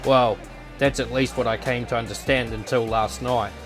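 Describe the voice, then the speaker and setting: A cocky young male fighter from a visual novel, acted by a man. He has a medium-pitched voice and a twisted streak. Music plays faintly behind him. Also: steady tone, normal speed, close to an everyday voice. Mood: calm, reflective. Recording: voice over background music.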